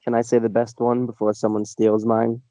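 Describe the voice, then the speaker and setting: Jokey mid-pitched man in podcast banter. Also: monotone, fairly quick, dark tone, dry recording. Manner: jokey, mock-outraged banter. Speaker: podcast co-host. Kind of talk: casual chat.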